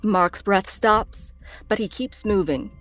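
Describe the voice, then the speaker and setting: A woman's voice: mid-range voice, slow pace, fairly bright tone. A woman narrator reading screenplay stage directions as dramatic scripted narration. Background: faint background music.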